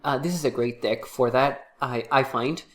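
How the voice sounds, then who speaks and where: A man with a cold, sounding congested, talking casually to camera about his tarot decks with many pauses and restarts. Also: mid-range voice, a bit bright. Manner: halting, many fillers and restarts. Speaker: tarot reader and channel host.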